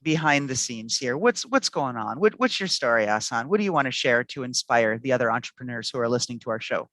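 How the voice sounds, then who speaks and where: Podcast host, female, encouraging and animated delivery, dry close sound. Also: rumbling low, fast talker, no room sound. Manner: upbeat, encouraging. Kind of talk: interview host questions.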